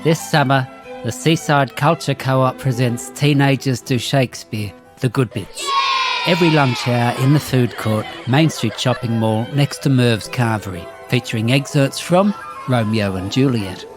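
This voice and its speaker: A man's voice: mid-range voice, a little slow, loud music behind the voice, lightly acted. A man playing a news anchor with a mock-serious broadcast delivery.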